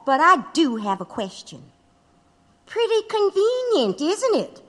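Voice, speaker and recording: A woman acts a defiant wife in an audio drama, sparring with the press. The voice is very high and swings widely, pointed and challenging. It rings out in a reverberant hall. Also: huge pitch swings, unhurried, exaggerated voice. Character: defiant, sharp-tongued wife fending off reporters.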